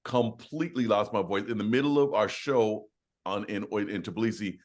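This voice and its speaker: A man's voice: fairly low pitch, normal speed, a little mellow. A man, a singer, chatting casually and telling a story with easy laughs. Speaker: a cappella singer and host. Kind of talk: casual chat.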